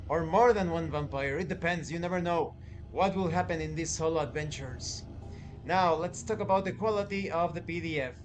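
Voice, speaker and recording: A man with a fairly high voice hosts a review over background music. He speaks in a deliberate, emphatic way, stretching out key words and pausing between short phrases as he presents. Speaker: tabletop game reviewer and channel host. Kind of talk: scripted-sounding review presentation.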